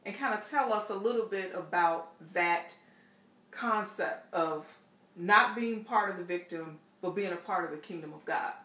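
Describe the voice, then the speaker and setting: A middle-aged woman with a bright, forward voice hosts a faith book talk from a living room. She talks playfully and with emphasis, leaning on repetition. The room adds a clear echo. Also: fairly low pitch, moderate pace. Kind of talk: casual church book talk. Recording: roomy echo.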